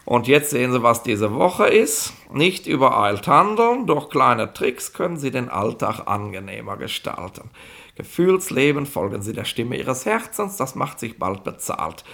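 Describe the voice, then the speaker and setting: An actor in a 1960s German radio comedy playing a chatty, self-important police inspector who explains things in rambling, condescending colloquial speech. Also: male voice, medium pitch, very animated, moderate pace, creaky, pushed and bright, exaggerated voice. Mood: pompous, instructing. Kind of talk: 1960s German comic radio play acting.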